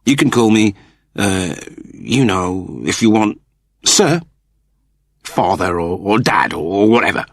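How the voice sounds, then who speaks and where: A man voicing an awkward, self-doubting middle-aged father in an audiobook, halting and earnest. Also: lowish voice, sing-song, dry recording, clean studio sound, heavily acted. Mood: awkward, hesitant. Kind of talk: dramatised audiobook dialogue.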